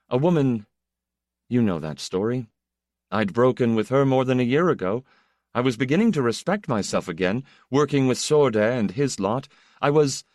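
A man's voice: medium pitch, no echo, clean studio sound, pitched above the performer's own voice, close to an everyday voice. A man voicing an intense, melancholy younger brother, a proud poet who speaks in a restless, confessional, emphatic way.

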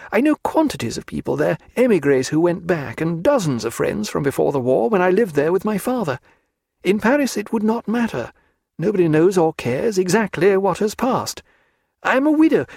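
A man's voice: vocal fry, darkish tone, husky, dry recording, clean studio sound, full character voice. A man reading aloud voices a proud, desperate young aristocratic woman who is pregnant and pleads in an upper-class manner. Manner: imploring, high-strung, upper-class.

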